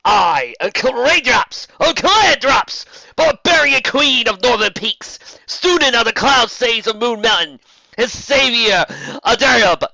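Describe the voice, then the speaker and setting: A heroic barbarian pegasus queen, acted out by a man in a fantasy tale. The voice is raised high and forceful, proudly declaiming with defiance. The recording is dry and slightly dull. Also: expressive, vocal fry, dry recording, exaggerated voice. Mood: defiant, shouting. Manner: loud, declamatory, drawn-out boasting. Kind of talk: amateur fantasy storybook reading.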